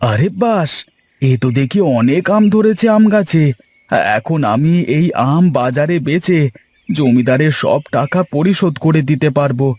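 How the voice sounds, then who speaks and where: A man's voice: fairly high pitch, lively intonation, measured pace, darkish tone, no room sound. A man voicing a humble, anxious young village husband in a cartoon folk tale, earnest and deferential, over music. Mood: excited, musing. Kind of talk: cartoon fairy-tale voice acting. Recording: music bed under voice.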